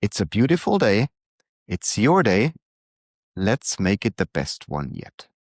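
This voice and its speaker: Slow, reflective man. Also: fairly low pitch, no echo, clean studio sound. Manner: calm, unhurried, reflective. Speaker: newsletter author and coach. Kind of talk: reflective scripted monologue.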